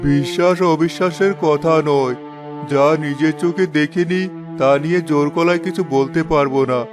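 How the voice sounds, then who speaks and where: A man's voice: on the high side, normal speed, close to an everyday voice. A man playing an elderly village uncle in a cartoon, giving grave, fatherly warnings. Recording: music behind the voice.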